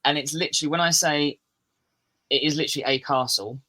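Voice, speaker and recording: English man from the southeast, guest on a chat show, rambling and self-mocking, bright-toned delivery. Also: on the high side, steady tone, fast talker. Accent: English, southeast England. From England. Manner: rambling, restarts sentences, self-deprecating humour. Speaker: podcast guest, metal musician. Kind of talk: casual podcast chat.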